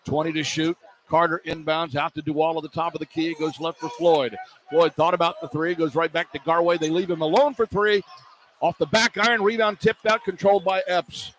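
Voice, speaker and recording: A man doing live basketball play-by-play on the radio as the announcer, animated and quick to exclaim at the action. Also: a little high. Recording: poor radio feed, clear background noise.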